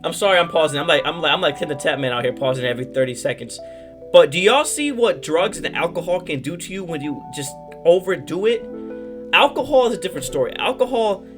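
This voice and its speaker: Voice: medium pitch, a bit bright. A reaction video host talking casually in slang, repeating phrases for emphasis.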